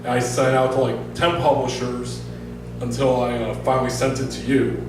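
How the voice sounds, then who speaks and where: An American man introduces and reads his work to a small audience in an informal, halting way. His mid-pitched voice comes from off in a very reverberant venue. He sounds casual and self-deprecating. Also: level tone. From northern Michigan, United States. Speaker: author at a public reading. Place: bar-like event venue, recorded from across the room. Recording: echoey venue, distant mic, music behind.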